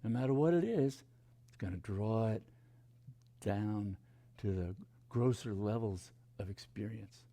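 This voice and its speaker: A man speaking as a meditation teacher, reading and explaining spiritual teachings in a hushed, deliberate, contemplative way with dramatic pauses. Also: fairly low pitch, gravelly.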